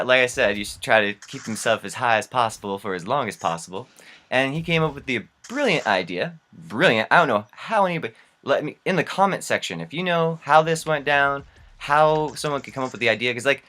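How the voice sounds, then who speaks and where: A man's voice: mid-range voice, sharp tone. A young man telling casual, rambling stories as a gaming vlogger, with slangy, incredulous asides. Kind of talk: casual rambling storytelling over gameplay.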